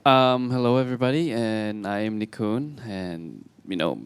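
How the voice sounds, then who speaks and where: Young man, smooth low voice, easygoing halting storyteller on a talk show stage. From Thailand. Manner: relaxed, halting with fillers and restarts. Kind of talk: casual storytelling to an audience.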